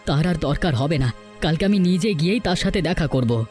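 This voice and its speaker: Cartoon folk-tale role: male actor as proud, penniless village family man, fairly high voice, earnest declamation, music underneath. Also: expressive, a little fast, a little mellow, close to an everyday voice. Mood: offended. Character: proud, poor homeless village husband and father. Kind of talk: Bengali cartoon folk-tale dialogue acting.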